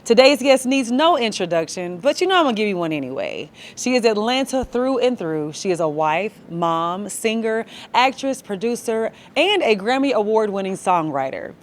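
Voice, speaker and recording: A woman's voice: mid-range voice, sing-song, normal speed, few pauses, a little hiss or hum. A woman hosting a podcast in a casual chat style, upbeat and affirming as she talks. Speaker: podcast host. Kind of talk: casual celebrity interview chat.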